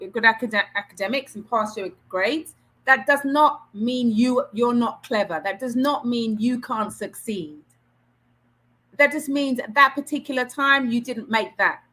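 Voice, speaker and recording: A woman's voice: fairly high pitch, pushed and bright, low-quality recording. Trinidadian woman giving earnest career advice. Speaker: career coach presenter. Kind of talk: online Q&A session.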